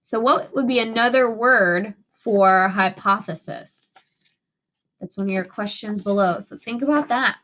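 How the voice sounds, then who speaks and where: A woman teacher reading a storybook aloud to children, expressive and instructive, pausing to ask questions. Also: medium pitch, poor audio.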